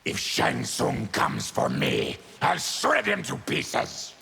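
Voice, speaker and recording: An actor playing an afflicted, embittered mutant warrior in a video game, with a gravelly growl and grim, deliberate, menacing delivery. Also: male voice, mid-range voice, huge pitch swings, sharp tone, exaggerated voice. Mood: aggrieved. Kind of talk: video game character dialogue. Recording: clear background noise with clicks.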